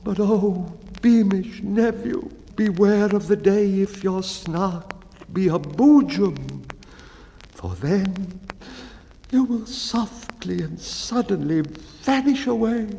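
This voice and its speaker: A man voicing a timid, mournful baker in a dramatic poetry reading, with a tearful, drawn-out lament. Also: sits high, lively intonation, vocal fry, mellow, big-room sound, a little hiss or hum, pitched above the performer's own voice, exaggerated voice. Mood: wistful.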